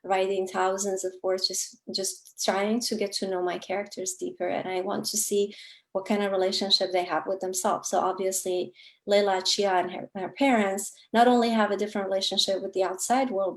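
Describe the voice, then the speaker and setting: A woman whose first language is Kurdish, an author answering interview questions in a reflective, earnest way with frequent pauses and fillers. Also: medium pitch. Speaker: author guest.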